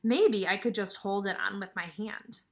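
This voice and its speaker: A woman voicing a proud, easily upset young elephant-headed boy god in an expressive storybook read-aloud. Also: mid-range voice, normal speed, a little hiss or hum, close to an everyday voice. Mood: hopeful problem-solving.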